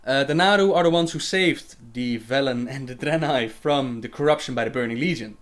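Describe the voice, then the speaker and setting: A man's voice: mid-range voice, a little fast. A young man hosting a casual livestream Q&A, reading viewer questions aloud and answering them chattily with fillers and laughs.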